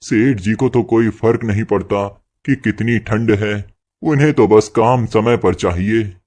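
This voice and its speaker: A man voicing a poor, meek labourer husband in an animated moral story, gentle and apologetic. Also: on the low side, measured pace. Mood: patient, resigned. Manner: gentle, pleading and apologetic toward his boss.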